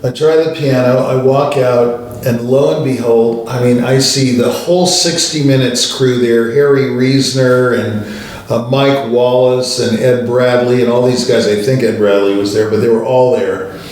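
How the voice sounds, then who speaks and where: A man's voice: medium pitch, fairly even intonation, normal speed, darkish tone, distant mic. A man hosting a show, telling a long casual story that keeps wandering off and correcting itself. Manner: rambling, digressive, self-correcting. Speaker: show host telling stories. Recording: echoey room, voice set back from the mic, faint ambient noise.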